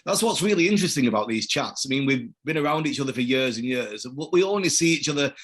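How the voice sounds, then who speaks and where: Slightly husky male interviewer. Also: mid-range voice, lively intonation, fast, a bit bright. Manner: friendly, prompting questions. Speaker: interview host. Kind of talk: casual interview.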